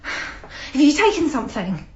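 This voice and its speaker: Very high-voiced, frightened young girlfriend. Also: big pitch swings, a little slow, roomy sound, muffled recording, background noise. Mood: suspicious, angry. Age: young adult. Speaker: actor in a radio play. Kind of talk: naturalistic British radio drama acting.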